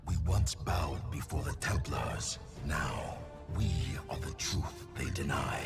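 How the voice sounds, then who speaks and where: An actor playing a menacing galaxy-conquering video game villain, possessed by alien power, making solemn, pompous proclamations. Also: male voice, very deep voice, lively intonation, slow, creaky, exaggerated voice. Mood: solemn, grandiose. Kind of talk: video game champion voice lines. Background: music.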